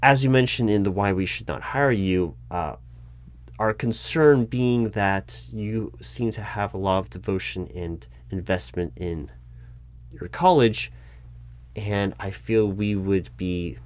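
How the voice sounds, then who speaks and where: A man playing a polite, awkwardly tactful corporate hiring manager in a one-sided interview roleplay, speaking aloud in a hesitant, stammering, diplomatic way. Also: lowish voice. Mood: concerned, tactful. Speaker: recruiting manager conducting a job interview.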